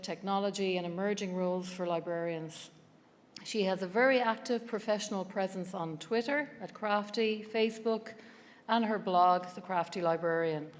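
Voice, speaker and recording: A woman's voice: medium pitch, a little hiss or hum. Woman introducing speaker in reverberant hall. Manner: measured, reads a prepared introduction. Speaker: conference organizer introducing speaker. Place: large conference hall. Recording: long hall reverb, faint ambience.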